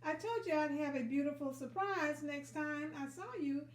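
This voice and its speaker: Storybook butterfly, played by a woman: small, affectionate, excited, very high voice, roomy sound. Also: moderate pace, natural voice. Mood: joyful, calling out. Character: excited, affectionate butterfly, formerly a little caterpillar. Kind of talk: children's storybook character voice.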